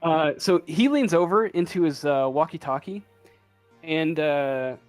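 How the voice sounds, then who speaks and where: Slow, halting male game master. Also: a little high, no room sound. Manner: halting, thinking aloud. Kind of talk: improvised tabletop game narration. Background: faint music.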